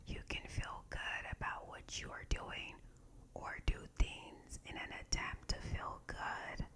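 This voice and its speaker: A woman whispers her way through a guided meditation reading in ASMR style. Her voice is breathy and fully unvoiced. She phrases softly and deliberately, at a very unhurried pace. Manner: soft, slow, deliberate phrasing. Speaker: ASMR host reading aloud from a meditation book.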